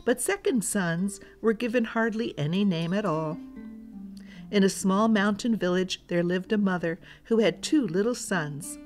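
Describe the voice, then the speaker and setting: A woman's voice: medium pitch, unhurried, a little mellow. Woman reading a storybook over music. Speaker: storyteller, children's read-aloud host. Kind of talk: scripted storybook narration.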